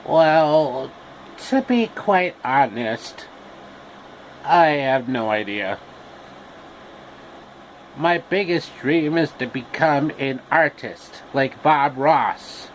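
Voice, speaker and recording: Man acting talking scarecrow, droll, fussy, campy, slow pace, swooping intonation, storybook character, faint noise. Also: mid-range voice, lively intonation, rough recording. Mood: wry, fussy.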